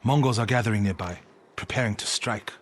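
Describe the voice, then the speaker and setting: An actor playing a solemn, earnest warrior monk of feudal Japan, grieving, in a dramatic video game cutscene. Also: male voice, lowish voice, fairly quick, a little hiss or hum, natural voice. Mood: tense, resolute. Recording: background music under the dialogue.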